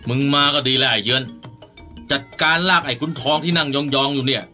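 An actor in a radio drama playing a stern, possessive noble father who gruffly orders and threatens his servants. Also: medium pitch, fairly quick, pushed and bright. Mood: angry, commanding. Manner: gruff, imperious, menacing. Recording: studio radio drama with music.

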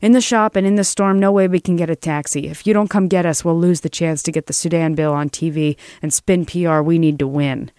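A man's voice: a little high, steady tone, fairly quick, few pauses, a little mellow, clean tone, dry recording, clean studio sound, close to an everyday voice. A man voicing a pushy, slangy male political aide with an urgent, irritable manner in a story reading.

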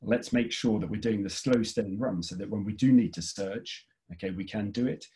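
A man in his forties gives advice over a video call from a study at home. His voice sits low and his delivery is calm and measured. He often pauses to check in with his listeners. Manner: calm, measured, frequent "okay?" check-ins. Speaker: webinar speaker. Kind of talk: webinar talk giving tips. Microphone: video call webcam.

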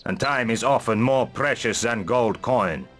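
A man's voice: mid-range voice, a little slow, a bit bright, soft background music, close to an everyday voice. A man playing a shrewd, suspicious mercenary lieutenant, serious and plain-spoken, in a fantasy video game. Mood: wary, irritated.

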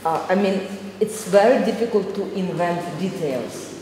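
Mellow Eastern European woman in echoey hall. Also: medium pitch, normal speed. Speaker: panelist. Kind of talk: halting panel talk in non-native English. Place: gallery room with small audience. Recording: very echoey hall, faint noise.

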